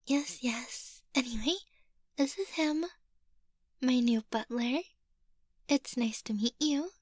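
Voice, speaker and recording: A woman's voice: high voice, slow pace, no echo. A woman playing a childish, bubbly young lady of the manor in an ASMR roleplay, with a playful, girlish manner. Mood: sheepish.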